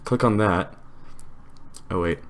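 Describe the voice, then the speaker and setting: Very low-voiced man giving casual tutorial. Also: slow pace. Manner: informal, step-by-step explaining. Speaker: tutorial host.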